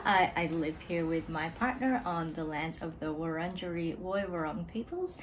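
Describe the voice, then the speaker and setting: A woman chatting casually to camera as a knitting vlogger at home, relaxed and chatty with frequent ums and small laughs. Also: low voice, slight background noise, low-quality recording. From Australia. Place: home room beside a desk.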